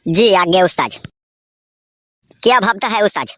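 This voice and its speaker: A woman's voice: mid-range voice, big pitch swings, fairly quick, a bit bright, no room sound, studio quality. A woman voicing a timid, loyal young male henchman in a comic cartoon, pleading and servile.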